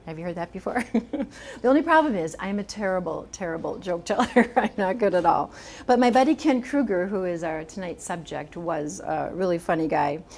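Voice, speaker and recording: A soft-spoken woman in her sixties giving a gentle, chatty library talk as an author, often laughing a little. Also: on the low side, normal speed, slight background noise. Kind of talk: informal public talk. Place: library meeting room.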